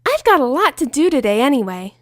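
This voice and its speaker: Brief: giddy, bouncy young female pony, very high and excitable, put on by a woman reader. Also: quick pace, no room sound, studio quality. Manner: excited and squealing.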